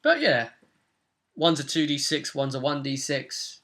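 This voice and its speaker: A man's voice: mid-range voice, big pitch swings, a little slow, a bit bright. A young man, the host and game master, casually recapping a tabletop role-playing session from a room at home. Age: young adult. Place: small room at home, indoors.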